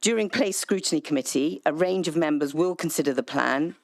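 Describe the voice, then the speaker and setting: A woman councillor answering public questions at a council meeting, speaking in a measured way with frequent ums and restarts. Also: low-pitched, creaky, no room sound. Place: council chamber, hybrid meeting. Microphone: desk microphone.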